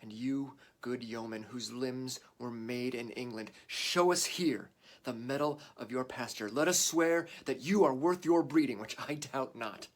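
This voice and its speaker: Slow, rousing warrior king played by a man. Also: mid-range voice, close to an everyday voice. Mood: solemn, rousing. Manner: declamatory, deliberate, swinging from hushed to shouted. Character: warrior English king rousing his weary troops before battle. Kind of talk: Shakespearean theatrical monologue.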